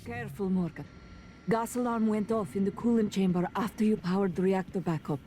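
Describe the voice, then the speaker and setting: Woman playing dark-toned, wry station crewmate. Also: mid-range voice, fairly quick, slight background noise, natural voice. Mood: cautioning. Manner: dry, wry, matter-of-fact. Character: tough, wry, watchful female station crew member. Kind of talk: video game voice acting.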